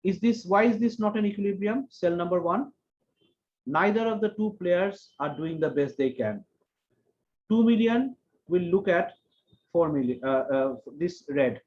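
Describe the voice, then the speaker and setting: Lecturer, high lo-fi voice. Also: male voice, a little mellow. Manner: explains step by step, repeating key phrases slowly. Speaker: economics lecturer. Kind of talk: online university lecture.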